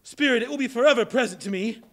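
A man playing Scrooge, old, pleading and high-voiced. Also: fast. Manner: anguished, pleading. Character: Scrooge, old miser now fearful and pleading. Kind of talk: live stage play reading. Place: large hall, live audience. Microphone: far camera.

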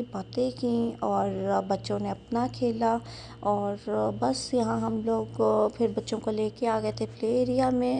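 Mellow-voiced young woman narrating her vlog. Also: on the high side, creaky, slight background noise. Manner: chatty, unhurried, with frequent "uh" fillers. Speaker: vlogger. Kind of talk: casual vlog voice-over narration.

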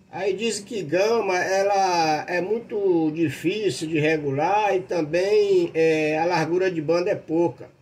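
A man's voice: high voice, a little mellow. A Brazilian man talking casually as an amateur operator, heard over a two-way radio. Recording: faint radio noise.

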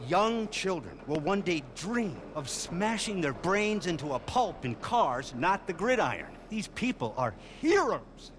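A manic, aging showbiz producer. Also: male voice, sing-song, noisy background. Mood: blustering. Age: older. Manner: rapid-fire, scattered pitches, blustering. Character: brash aging male TV producer, manic and vulgar.

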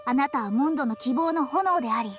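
A woman's voice: high voice, lively intonation, brisk, music in the background. A woman voicing a sweet, polite, bookish young female alchemist in a Japanese anime game.

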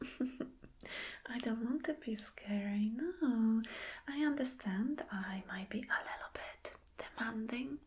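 A woman in her thirties playing a flirty, soothing boss in a soft, breathy, whispered ASMR roleplay, gentle and coaxing. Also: a little high. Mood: teasing, reassuring. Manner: gentle, coaxing, slow and deliberate. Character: flirty, soothing female boss reassuring an employee. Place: small dim indoor room.

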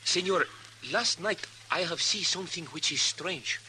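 A man playing a roguish Mexican townsman in a 1950s radio western, speaking halting broken English with Spanish words and a Mexican accent. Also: high voice. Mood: eager, confiding. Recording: noisy humming 1950s radio recording.

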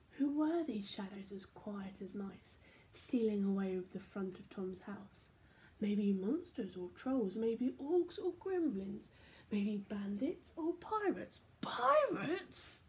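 A woman in her twenties reading a children's story aloud expressively, shifting between hushed and excited delivery. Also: medium pitch, slow pace, rough recording. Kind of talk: animated picture-book read-aloud. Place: small domestic room indoors.

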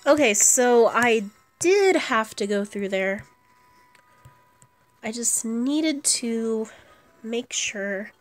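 A woman's voice: fairly high pitch, lively intonation, very slow, long pauses. A woman giving casual commentary on her own gameplay, thinking aloud as she plays. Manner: thinks aloud while playing. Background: video game music.

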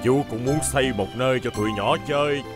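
A man's voice: medium pitch, fast talker, lightly acted. A man voicing a friendly, modest middle-aged builder in a dubbed children's cartoon. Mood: kindly, modest. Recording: loud music under the voice.

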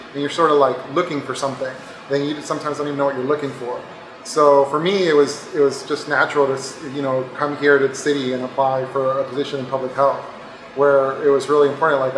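A man's voice: mid-range voice, fairly bright tone. A middle-aged man, a microbiologist, giving a casual interview, talking loosely with lots of filler phrases. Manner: loose, informal, frequent "like" and "you know". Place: laboratory indoors. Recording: echoey room.

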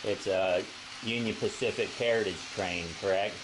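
A middle-aged man with a lowish voice chats casually, asking questions to keep things going. He records at arm's length in a small room, with constant noise clear in the background. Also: moderate pace. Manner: relaxed, prompting questions. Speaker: vlogger. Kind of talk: casual chat. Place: small home train room.

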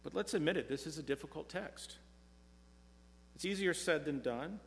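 A man's voice: fairly high pitch, gravelly, fairly bright tone. Man preaching in a reverberant church. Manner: measured, earnest preaching, slowing for scripture. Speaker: pastor. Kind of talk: sermon. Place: church sanctuary, speaking from a pulpit. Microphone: pulpit mic, far camera.

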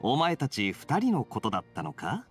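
A man voicing a relaxed, playful young male knight in an anime game, speaking smoothly and casually. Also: mid-range voice, moderate pace, faint music behind. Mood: teasing, relaxed. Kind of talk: Japanese anime game voice acting.

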